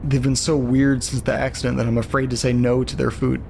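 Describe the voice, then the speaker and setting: A man's voice: mid-range voice, little pitch movement, fairly quick, vocal fry, a little mellow, a little hiss or hum, lightly acted. An actor playing an uneasy, lonely man reading eerie letters aloud in an audio drama monologue. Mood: bewildered, troubled. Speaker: narrator.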